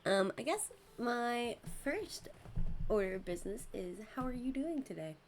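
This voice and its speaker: A young woman hosting a casual streamed podcast. She giggles often between words, and her voice is worn from recent shouting. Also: a little high, lively intonation, measured pace, slight background noise. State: losing her voice. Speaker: podcast host and VTuber.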